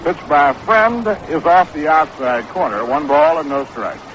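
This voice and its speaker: A man calling baseball play-by-play on 1960s radio, measured and descriptive, on an old broadcast recording. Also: a little high, very animated, a bit bright. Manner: measured, descriptive calls that quicken on action. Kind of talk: 1960s live radio sports commentary. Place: outdoor ballpark broadcast position. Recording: noisy, dull vintage 1960s radio broadcast.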